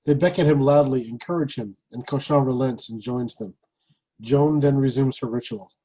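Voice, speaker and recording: A man's voice: mid-range voice, normal speed, dark tone, slightly rough, low-quality recording. A man reading stage directions as scripted narration for a play's table read.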